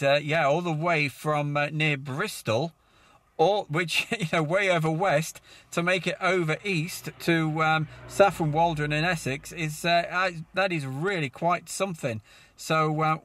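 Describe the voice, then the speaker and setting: A man's voice: a little high, a bit bright, no room sound. A man chatting casually as controller of a CB radio net, in a hesitant, chatty way. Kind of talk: casual amateur radio chat. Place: mobile radio setup.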